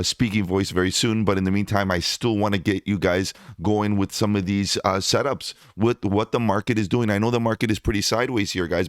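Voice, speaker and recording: A man's voice: smooth, no room sound, clean studio sound. A man who is losing his voice, hosting a crypto channel and giving upbeat market commentary to his viewers. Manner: upbeat salesy pitch, addresses viewers as guys. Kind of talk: market analysis commentary over screen charts.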